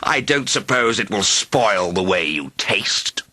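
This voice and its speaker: A man's voice: fairly low pitch, lively intonation, takes it slowly, bright, forceful tone, exaggerated voice. A man voicing a vain, rude and cowardly huge male dragon in a storybook, blustering and melodramatic. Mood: smug. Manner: blustering and melodramatic, wailing when wounded. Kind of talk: storybook reading.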